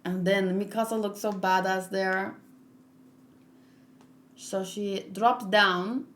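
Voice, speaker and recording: A woman hosts a casual read-along in an unhurried, halting way. She comments on a comic as she goes and drops in short exclamations. Her pitch sits in a middle range for a woman. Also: fairly even intonation, a bit bright. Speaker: manga read-along host. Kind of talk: casual reading and reacting to a comic.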